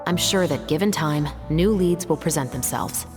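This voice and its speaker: A woman playing a composed, serious investigator and patrol captain in a video game, speaking in a measured, articulate way. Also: low voice, music in the background, natural voice. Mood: measured, thoughtful.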